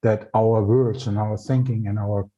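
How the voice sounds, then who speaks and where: A man's voice: on the low side, fairly even intonation, a little slow, warm tone, highs cut off. A man with an Austrian accent, speaking thoughtfully and searching for words in English. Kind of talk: casual spiritual conversation.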